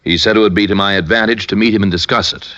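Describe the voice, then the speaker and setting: A man's voice: lowish voice, fairly even intonation, quick pace, bright, forceful tone. An actor playing a retired, older businessman under suspicion, courteous but hesitant and stammering, in old-time radio style. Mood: uneasy. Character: uneasy, polite retired businessman, evasive suspect and husband. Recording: dull, muffled old radio recording.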